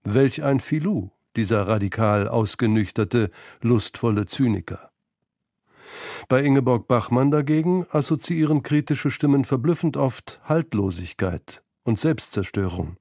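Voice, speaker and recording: A man reading scripted documentary narration for a radio feature in a measured, sober, literate manner. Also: mid-range voice, lively intonation, a little mellow, no room sound, studio quality.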